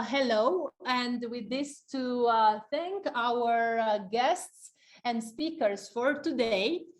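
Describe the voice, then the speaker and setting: A woman from Romania hosting an open seminar, welcoming guests with frequent pauses and fillers. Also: on the high side, fairly bright tone. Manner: hesitant, many fillers. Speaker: seminar host. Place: seminar room.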